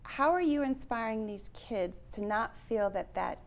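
A woman's voice: fairly high pitch, lively intonation, unhurried. Chatty woman interview host. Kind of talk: casual interview conversation.

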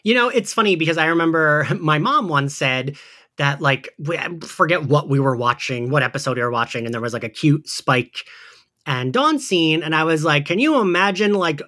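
A man's voice: a little high, animated, normal speed, pushed and bright. A man hosting a podcast in a casual, gossipy, fannish chat style, often saying "like". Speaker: podcast host. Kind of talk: casual chat recapping an audio drama.

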